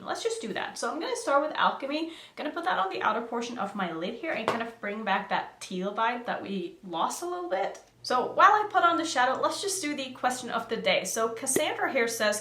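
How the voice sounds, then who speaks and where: A woman's voice: fairly high pitch, a bit bright. A young woman from Norway, a native Norwegian speaker, chatting casually and giggling as she thinks aloud through a makeup tutorial. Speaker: makeup vlogger. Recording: echoey room.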